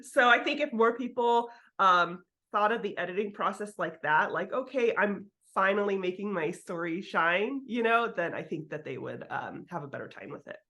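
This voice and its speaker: A woman's voice: mid-range voice, expressive, normal speed, hardly any pauses, bright, forceful tone. A woman chatting informally as an interview guest, giving writing advice in a candid way with the occasional swear word. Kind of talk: casual interview chat.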